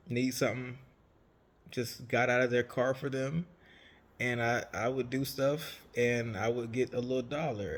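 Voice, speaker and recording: A man's voice: mid-range voice, gravelly. A man in his late twenties, raised in Savannah, Georgia, talking casually and rambling, often correcting himself. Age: 20s. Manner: rambling, self-correcting, chatty. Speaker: vlogger, entrepreneur. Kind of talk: casual storytelling monologue.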